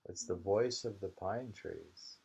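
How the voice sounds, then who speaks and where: A man reading haiku slowly and commenting on it casually, in an unhurried, musing way. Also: low-pitched, gravelly, dark tone, a little hiss or hum. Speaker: reader of a haiku anthology. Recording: dull lo-fi home recording.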